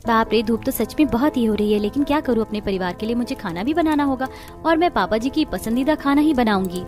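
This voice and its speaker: Cartoon youngest bahu, played by a woman: meek, earnest, high-pitched, music underneath. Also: lively intonation, fast talker, darkish tone, close to an everyday voice. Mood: determined. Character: humble, hard-working youngest daughter-in-law from poor home.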